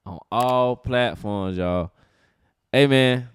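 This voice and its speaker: A man hosting a casual podcast interview, relaxed and slangy as he asks questions. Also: mid-range voice, drawn-out words. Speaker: podcast host.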